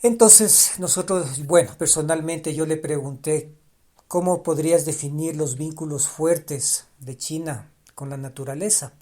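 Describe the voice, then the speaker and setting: Halting man musing on a podcast. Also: a little high, warm tone. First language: Spanish. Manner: halting, restarts phrases, repeats ideas for emphasis. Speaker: podcast host. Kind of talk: reflective podcast monologue.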